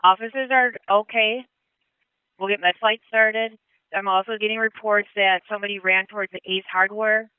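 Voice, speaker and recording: A male police dispatcher speaking over radio traffic in a calm, clipped way, repeating back information. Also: high-pitched, normal speed, clean tone, no room sound, narrow, tinny audio. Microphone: dispatch console radio.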